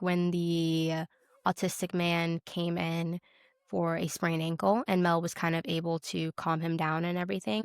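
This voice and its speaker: A woman's voice: lowish voice, clear, resonant tone, dry recording, studio quality. A woman co-hosting a podcast, chatting casually and informally about television, often dropping in "like". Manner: informal, peppered with "like". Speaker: podcast co-host. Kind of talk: casual chat about a TV show.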